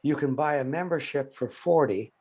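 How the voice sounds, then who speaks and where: An older man leading a workshop, answering questions on a video call in a measured, explanatory way with soft chuckles. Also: fairly high pitch, slightly rough. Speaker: workshop presenter and psychotherapy trainer.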